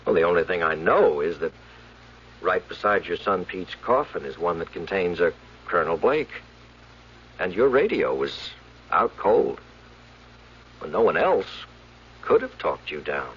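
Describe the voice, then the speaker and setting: A baffled, earnest official in a 1970s radio drama. His low voice swings widely and sounds slightly husky as he halts in astonishment. The broadcast sound is dull. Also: very animated, exaggerated voice. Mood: shaken, disbelieving. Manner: halting, astonished. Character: earnest, baffled male official attending a rescued pilot.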